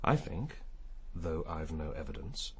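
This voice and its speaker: An actor playing a courteous, perceptive senior police detective in a radio drama, speaking in a formal, restrained, probing way. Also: male voice, very deep voice, very animated, measured pace, a bit bright, slight background noise, heavily acted. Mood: grave, restrained.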